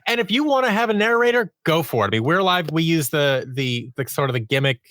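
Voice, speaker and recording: A man's voice: a little high, big pitch swings, fast, a bit bright, no room sound, clean studio sound. A man talking casually as a podcast guest about his craft, explaining things enthusiastically and often voicing imagined quotes. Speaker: audio drama writer and producer, interview guest.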